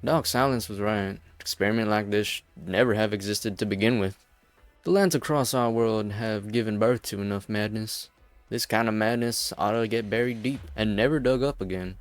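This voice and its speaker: A calm but menacing frontier man played by an amateur actor in a game fan dub. He talks in folksy phrases, low and dry, over faint music. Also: moderate pace, no echo, lightly acted. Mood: resolute. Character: Sonny, calm hard-bitten frontier man, defiant.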